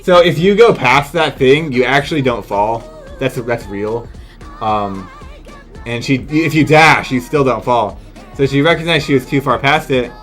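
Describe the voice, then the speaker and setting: A man's voice: mid-range voice, animated, a little slow, fairly bright tone, soft background music. A man giving casual, incredulous live commentary as a video game streamer, exclaiming and swearing freely.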